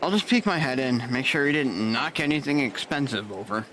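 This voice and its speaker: A man's voice: mid-range voice, animated, brisk, background noise, natural voice. An actor playing an easygoing, wry married male nurse in an audio drama. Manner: laid-back, wry.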